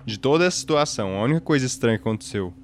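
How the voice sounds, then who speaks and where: A man's voice: mid-range voice, lively intonation, very fast, faint music behind, lightly acted. A man playing a police detective in a tabletop game, pressing with insistent questions and clarifying every detail. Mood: businesslike, probing. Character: probing male police detective leading an interrogation.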